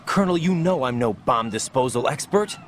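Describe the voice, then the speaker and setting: A man's voice: mid-range voice, animated, a little fast, slight background noise, close to an everyday voice. An actor playing a young male soldier, anxious and questioning.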